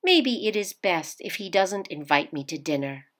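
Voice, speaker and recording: A woman voicing a shy, self-doubting schoolgirl in a storybook, wondering softly and tentatively. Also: on the low side, expressive, moderate pace. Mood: hesitant, wondering.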